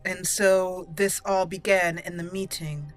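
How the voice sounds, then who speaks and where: A woman's voice: on the low side, fairly even intonation, unhurried, soft background music, rough recording. A woman hosting a roleplay let's play, chatty and moving between commentary and in-character lines. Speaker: gaming host.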